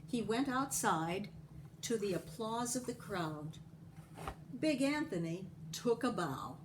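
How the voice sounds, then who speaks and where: A woman reading a picture book aloud in an expressive, animated storytelling style. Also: medium pitch, slow, noisy background. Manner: animated, acting out the dialogue. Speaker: storybook reader.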